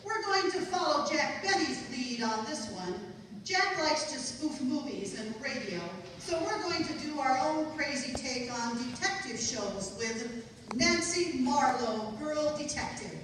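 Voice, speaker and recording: Wry, brisk female radio co-announcer played by a woman, high voice, vintage show style, heavy room echo. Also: animated, a bit bright. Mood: brisk, selling. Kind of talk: scripted old-time radio announcing. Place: college stage performance in a large hall. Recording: echoey hall from far off, noisy.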